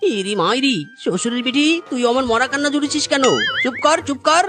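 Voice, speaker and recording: A woman's voice: high-pitched, lively intonation, moderate pace. A woman voicing an exasperated village husband in a children's cartoon, scolding and complaining in exclamations. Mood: angry scolding. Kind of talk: exaggerated children's cartoon acting. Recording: music behind the voice.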